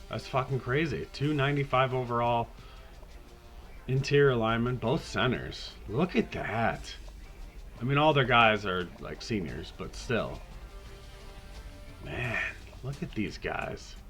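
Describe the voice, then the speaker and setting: A man hosting a podcast, talking casually through a video game season in a raspy voice, hoarse from a cold, laid-back and rambling with the odd swear. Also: medium pitch, animated, measured pace, long pauses, a bit bright, faint music behind. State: hoarse, getting over a cold. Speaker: podcast host.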